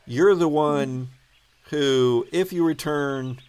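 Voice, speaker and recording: A man acting as game master, narrating an improvised tabletop game in a halting, deliberate way. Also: fairly high pitch, a little mellow, clean tone.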